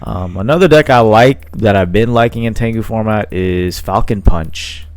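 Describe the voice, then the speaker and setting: A man's voice: lowish voice, moderate pace, no room sound. A man giving casual play-by-play commentary on a card game match, thinking aloud with frequent fillers. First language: not Italian.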